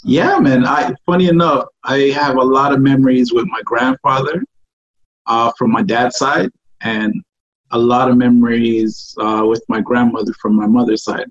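A relaxed man with a husky, slightly rough voice answers questions on a podcast. He pauses often with "um" as he thinks. The audio is dull and lo-fi. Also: mid-range voice. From born in Ghana, raised in Toronto. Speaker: guest, artist. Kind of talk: podcast interview.

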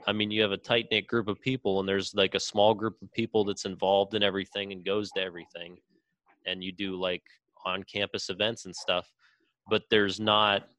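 A man's voice: lowish voice, pushed and bright, dry recording, low-quality recording. A young man in a casual podcast debate, speaking as an opinionated co-host who swears freely.

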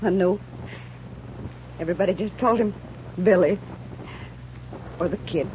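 A woman's voice: fairly low pitch, normal speed, natural voice. An actress playing a long-suffering, folksy frontier mother with a rural American accent, complaining in 1950s radio western style. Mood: subdued. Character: long-suffering, fretful frontier mother, plain-spoken woman. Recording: dull, noisy old radio recording.

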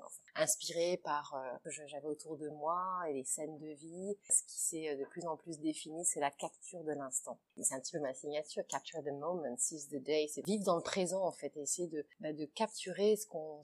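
A woman in her forties of Italian family, a guest chatting at home, hesitant with many fillers and restarts. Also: sits low. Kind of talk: casual interview chat.

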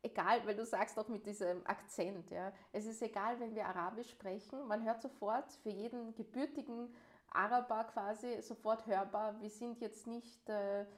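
Young woman co-host, chatty and affirming, quick to laugh. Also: medium pitch, steady tone. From Vienna, Austria. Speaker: podcast co-host. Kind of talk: casual chat.

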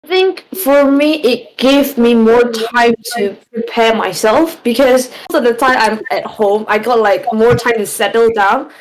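A woman's voice: fairly high pitch, measured pace, few pauses, low-quality recording. A young woman from Myanmar, a student guest on a casual podcast, chatting freely and often saying like. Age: young adult. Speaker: guest, student society vice president.

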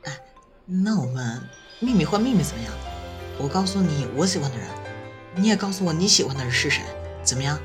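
A woman's voice: low voice, expressive, brisk. A woman voicing a cheeky, boastful college roommate with a teasing, chatty manner in a campus audio drama. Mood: gossipy, smitten. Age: young adult. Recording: music playing behind the voice.

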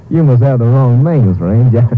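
An actor playing a sly, cocky oil-field villain, smoothly evasive, in a 1950s radio crime drama. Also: male voice, medium pitch, narrow, tinny audio. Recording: thin 1950s radio with faint noise.

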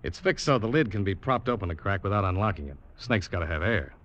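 An actor playing a determined but uneasy American snake hunter, narrating his expedition with dramatic, deliberate delivery in an old radio drama. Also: sits low, lively intonation, a bit bright. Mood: confident. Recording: muffled old radio recording with music.

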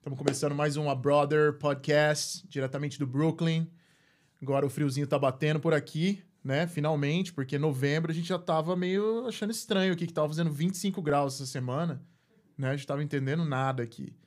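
A man's voice: on the high side, fairly even intonation, brisk. A man hosting a podcast, chatty and upbeat, often tagging sentences with a questioning aside. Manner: chatty, upbeat, frequent "né?" tags. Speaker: podcast host. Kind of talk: casual podcast chat.

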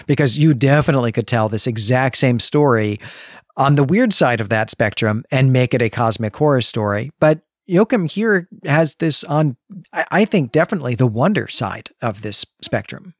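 A man of medium pitch hosts an unscripted literary discussion. He explains his points articulately in long, developed sentences. The recording is dry and free of echo. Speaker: podcast host.

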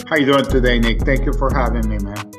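A middle-aged man from Mexico City with a Mexican accent, a native Spanish speaker, talking casually as an interview guest in a halting way with repeated words. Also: mid-range voice, fairly even intonation, over music. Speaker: sports radio play-by-play announcer, interview guest.

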